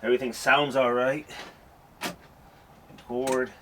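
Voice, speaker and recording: Unhurried man thinking aloud. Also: mid-range voice, flat delivery, pushed and bright, slight background noise. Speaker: hobbyist showing a tape recorder. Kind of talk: casual walkthrough vlog.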